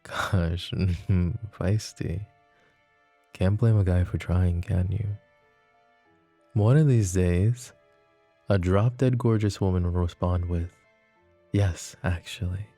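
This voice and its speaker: A man acting a flirty, possessive mafia boss in an intimate ASMR roleplay, speaking softly and unhurriedly in a teasing, world-weary way. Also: sits low, slow, long pauses, no echo, faint music behind, heavily acted. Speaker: voice actor.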